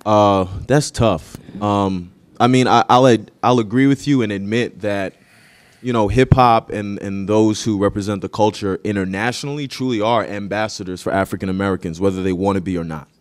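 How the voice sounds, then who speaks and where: A man on a university panel, speaking in a deliberate, earnest way. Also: on the low side, steady tone. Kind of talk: panel discussion.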